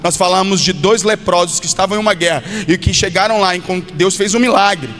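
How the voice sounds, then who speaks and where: A Brazilian male pastor delivers a sermon in a high-pitched voice. He exhorts his listeners directly, driving points home through repetition. His voice rings through a long hall reverb, with music playing behind him. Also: fairly quick, fairly bright tone. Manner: exhortative, direct address with acted-out quotes and repetition.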